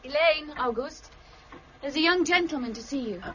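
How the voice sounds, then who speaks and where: An actress playing a patient, wry sister in a period radio drama, polite and formal as she gently chides. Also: high voice, normal speed, lo-fi sound. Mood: welcoming.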